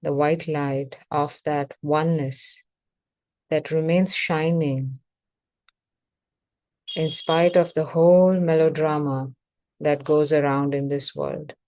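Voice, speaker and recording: A woman leading a guided meditation as facilitator, speaking in measured short phrases with pauses between them. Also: deep voice, a little mellow, dry recording.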